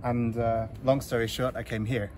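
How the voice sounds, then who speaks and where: A man's voice: medium pitch, level tone, moderate pace. Guy in his 30s chatting in a garden, lots of uhs, traffic noise around. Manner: easygoing, hesitant with many fillers. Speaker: interview guest, volunteer. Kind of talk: casual interview. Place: outdoor community garden. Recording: outdoor noise with passing traffic.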